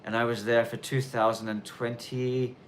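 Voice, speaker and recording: A man in his thirties from Australia, with a mixed Australian and London accent and a touch of Irish twang, chatting casually as he answers viewer questions on a live stream, often restarting sentences and taking care to speak clearly. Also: medium pitch, flat delivery, slight background noise. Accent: mixed Australian and London, with some Irish twang. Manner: rambling, self-correcting, deliberately clear delivery.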